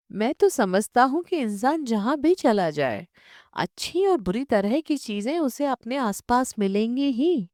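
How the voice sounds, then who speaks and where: A woman narrator reads the part of a concerned, reasonable man advising a friend. The delivery is slow and questioning, gently dissuading, with a dry storybook sound. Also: fairly high pitch, no room sound.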